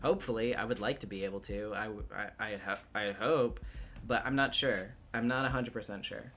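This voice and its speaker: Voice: medium pitch, fairly quick, a bit bright, a little hiss or hum. A livestreamer and voice actor with a male-range voice, chatting casually in a hesitant, thinking-aloud way.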